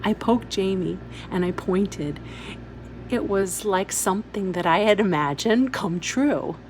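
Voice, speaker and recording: A woman's voice: mid-range voice, a little hiss or hum, low-quality recording. A woman reading a story aloud expressively, as a teacher would for pupils. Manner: instructive, pausing to point out details.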